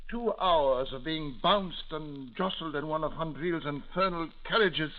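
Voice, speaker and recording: An actor playing a fretful, fussy older uncle in a vintage radio drama, hesitant and theatrical. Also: male voice, on the high side, unhurried, lightly acted. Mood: weary, grumbling. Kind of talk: 1940s radio drama acting. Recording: noisy, muffled old radio recording.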